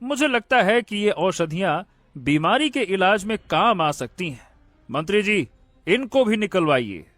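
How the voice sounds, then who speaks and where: Kind young cartoon prince, male actor: slow, theatrical delivery, high voice, excitable. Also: no echo, faint music behind, exaggerated voice. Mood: benevolent, decisive. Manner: theatrical, drawn-out exclamations and hums. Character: excitable kind-hearted young prince of a fairy-tale kingdom. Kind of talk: animated Hindi moral-story cartoon dialogue.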